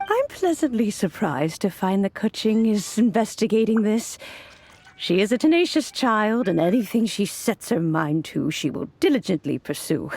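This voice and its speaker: An actress voicing an elderly, fond grandmotherly mentor in a game cutscene who talks gently and unhurriedly. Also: mid-range voice, breathy edge, full character voice. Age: older. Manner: gentle, unhurried, softly laughing. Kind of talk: video game cutscene voice acting.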